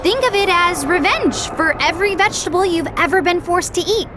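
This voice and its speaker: A woman's voice: very high voice, animated, fairly quick, fairly bright tone, soft background music. A woman voicing a bossy, snarky ten-year-old American girl in a cartoon video game. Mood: wry. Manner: snarky, incredulous. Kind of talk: animated game cutscene acting.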